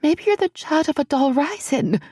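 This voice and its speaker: A woman voicing a frightened young woman who speaks high and breathlessly to her friend. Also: sing-song, normal speed, mellow, dry recording, clean studio sound. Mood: eager.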